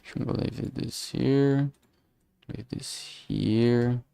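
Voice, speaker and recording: A man's voice: mid-range voice, fairly even intonation, extremely slow, creaky, warm tone, clean tone, no room sound. A man chatting casually on a livestream, thinking aloud in a hesitant, musing way and often trailing off mid-thought. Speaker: streamer making a visual novel.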